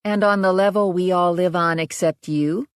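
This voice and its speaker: A woman's voice: fairly low pitch, steady tone, normal speed, clear, resonant tone, dry recording, clean studio sound, voice pushed up, lightly acted. A woman playing a frazzled, blunt young professional woman in audiobook dialogue, with an exasperated manner.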